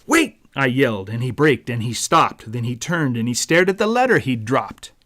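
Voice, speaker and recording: A man narrating a rhyming children's story as its author, in a dramatic, deliberate storyteller's manner. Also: mid-range voice, expressive, a bit bright. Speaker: author reading own book.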